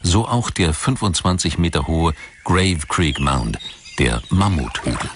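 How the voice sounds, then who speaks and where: Male documentary narrator, low register, lively melodic delivery of scripted text, music underneath. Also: a little slow, noisy background. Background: background music.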